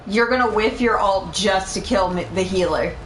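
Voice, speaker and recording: A woman's voice: medium pitch, moderate pace. A woman streaming a game, chatting casually and telling anecdotes in a blunt way. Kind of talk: casual chat, animated anecdotes. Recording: roomy echo, faint background noise.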